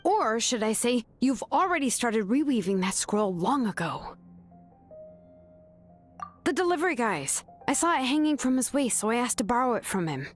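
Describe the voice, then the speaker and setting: An actress playing a sharp, laid-back young woman who calmly reasons through a scheme in a video game. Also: on the high side, normal speed. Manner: calm, knowing, casually reasoning. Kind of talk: video game dub acting. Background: game music.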